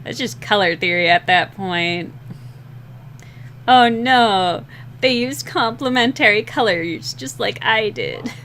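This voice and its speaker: A young woman with a slightly rough, scratchy voice, rambling and laughing at herself in a casual chat while drawing, short on sleep. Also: mid-range voice, slow pace, a bit bright. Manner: rambling, giggly, self-deprecating. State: sleep-deprived. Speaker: digital artist streaming a drawing session.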